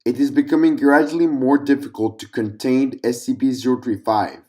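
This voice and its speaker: A man's voice: medium pitch, fairly even intonation, fairly quick, mellow. A man narrating a scripted fictional document in a formal, clinical report-reading manner.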